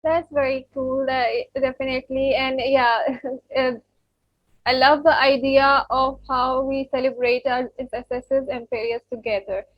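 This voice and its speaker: A woman's voice: high voice, level tone, measured pace, no echo, lo-fi sound. A woman from Pakistan hosting an interview, speaking hesitantly with many fillers. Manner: warm-hearted, hesitant with fillers. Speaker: podcast host.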